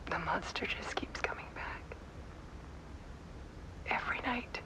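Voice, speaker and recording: An actress playing an exhausted, haunted woman photographer in a horror game, speaking in a hushed, halting, breathy whisper. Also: close to an everyday voice, poor audio. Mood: anguished, exhausted. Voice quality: breathy whisper with creaky, sharp edge. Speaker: actor in a live-action game cutscene. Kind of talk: confessional monologue, horror game live-action acting.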